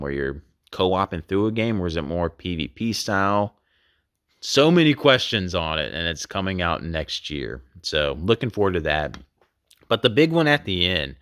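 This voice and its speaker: A man's voice: sits low, fairly bright tone, no room sound, studio quality. A man hosting a game collecting channel, talking casually and rambling with many fillers while losing his voice. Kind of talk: casual video commentary.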